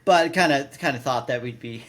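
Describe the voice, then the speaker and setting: A man's voice: medium pitch, moderate pace, a bit bright. A man of thirty-nine co-hosting a podcast in casual chat, often stammering and doubling back as he explains. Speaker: podcast co-host.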